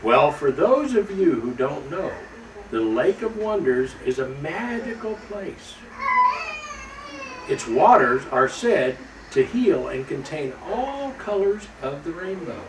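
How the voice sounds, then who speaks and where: A man reading a children's story aloud in an expressive storytelling style. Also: on the high side, takes it slowly. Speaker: storybook reader. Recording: echoey room, distant mic, faint noise.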